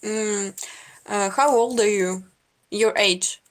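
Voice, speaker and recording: Slow-talking giggly young woman. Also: mid-range voice. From Russia. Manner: simple broken English, giggly. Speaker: stranger on a video chat. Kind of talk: casual video chat. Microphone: video call.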